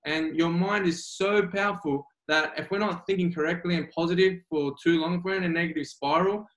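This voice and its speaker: Australian man, high voice, earnest guest coach, echoey room. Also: fairly even intonation, fairly quick, fairly bright tone. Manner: earnest, emphatic, repeats key phrases. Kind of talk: remote interview. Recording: roomy echo.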